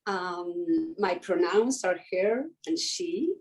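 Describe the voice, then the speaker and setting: A woman, speaking English as an as an interview guest, often pausing and restarting to find her words. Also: lowish voice, expressive, poor audio. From Mexico. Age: 50s. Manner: hesitant, searching for words with many restarts. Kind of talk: conversational interview.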